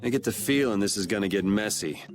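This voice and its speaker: A man's voice: on the low side, fast, music in the background, lightly acted. A man playing a cool, laconic young swordsman in a video game, casual and understated. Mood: guarded. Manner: casual, understated, laid-back. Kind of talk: video game cutscene voice acting.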